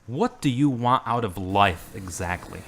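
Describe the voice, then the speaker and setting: Guy acting earnest churchy middle-aged man, measured, lowish voice. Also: animated, gravelly, fairly bright tone, full character voice. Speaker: radio drama actor. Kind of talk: amateur radio drama.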